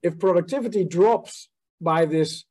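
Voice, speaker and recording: A man in his forties joins an online panel from home over his laptop mic. His voice sits fairly high with a soft, dark warmth. He talks thoughtfully, often circling back and repeating words. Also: a little slow, breathy edge. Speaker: panelist, company managing director. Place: home room on video call.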